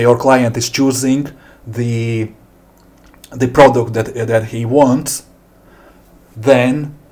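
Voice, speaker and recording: A middle-aged man from Latvia with a Latvian accent and non-native English, giving an informal product walkthrough with deliberate, hesitant phrasing. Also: mid-range voice, a little mellow. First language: not English. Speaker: software developer presenting his product. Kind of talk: informal screen demo walkthrough.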